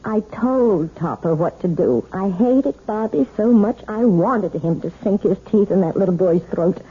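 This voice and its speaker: A woman acting in a vintage radio drama as a grown patient confessing guilt gravely and firmly. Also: medium pitch, expressive, unhurried. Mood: bitter resolve. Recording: muffled vintage radio recording.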